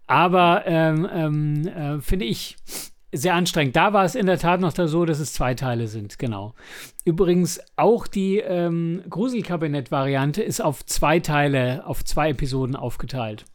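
Male co-host for a casual review podcast. Slightly high register, halting, rephrases as he goes. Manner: hesitant, halting, self-correcting mid-sentence. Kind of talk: casual conversational review talk.

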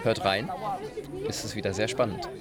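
A man chatting casually and a little haltingly in an interview. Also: fairly low pitch, steady tone. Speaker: voice actor, interviewee. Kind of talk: casual chat. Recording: loud crowd noise at event.

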